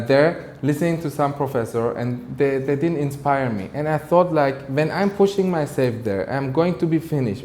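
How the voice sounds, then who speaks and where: Mellow man in a roomy space. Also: medium pitch. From Germany, of Iranian background. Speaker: entrepreneur, interview guest. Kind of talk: personal motivational interview talk. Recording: roomy echo with faint noise.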